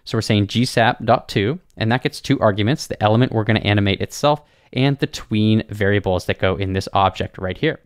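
Low-voiced man walking through code. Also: fairly quick, few pauses, no room sound, clean studio sound. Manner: relaxed, explanatory, talks through each step. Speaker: web design tutor. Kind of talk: screen-recorded coding tutorial. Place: small home studio, indoors. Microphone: studio mic on boom arm.

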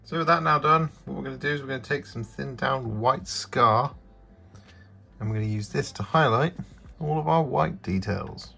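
A man's voice: medium pitch, normal speed, gravelly, sharp tone, slightly rough. A man giving an instructional voice-over as the host of a painting tutorial. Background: background music.

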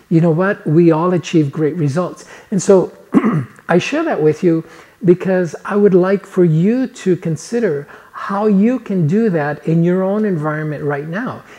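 A man's voice: sits high, warm tone. A man in his fifties, born in Chile, telling a personal story in an earnest motivational talk to camera, recorded on a lapel mic in a living room. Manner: earnest storytelling, gestures with hands. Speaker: personal coach. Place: living room, on a couch indoors.

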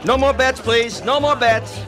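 An actor in a radio drama playing a brisk casino croupier, calling out bets in a projected announcer's manner. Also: male voice, very high voice, fairly even intonation. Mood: brisk, projecting. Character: loud male casino croupier, brisk and businesslike. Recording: loud music and casino crowd behind.

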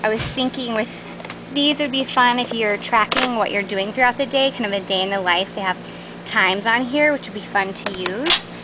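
A woman's voice: fairly high pitch, moderate pace, background noise. A woman giving a casual, unscripted product walkthrough as host, chatty and informal, on a handheld camera in a warehouse. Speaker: host showing new craft store products. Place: large indoor warehouse aisles. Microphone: handheld camera's built-in mic.

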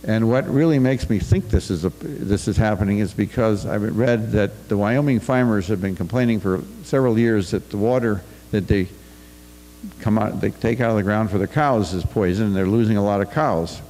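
A man's voice: on the low side, moderate pace, dark tone, soft background music. An older American man reads a prepared talk into a podium microphone at a farm forum in a school auditorium. He stumbles and restarts now and then. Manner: reads from paper, with restarts and asides. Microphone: podium mic on a stand. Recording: reverberant auditorium.

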